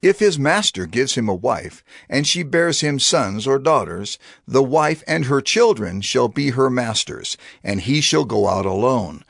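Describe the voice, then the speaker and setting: A man's voice: mid-range voice, animated, unhurried, gravelly, few pauses, a bit bright, no room sound, clean studio sound, exaggerated voice. A man playing Moses as a solemn prophet and lawgiver in a dramatized scripture audio drama, with emphatic, declarative delivery. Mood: solemn, stern.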